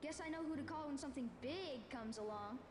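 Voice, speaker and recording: A woman's voice: high-pitched, fairly quick, echoey room, over music, lightly acted. A woman voicing a breezy, friendly, scatterbrained child in a video game. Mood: cheerful. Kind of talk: voice acting in a game cutscene.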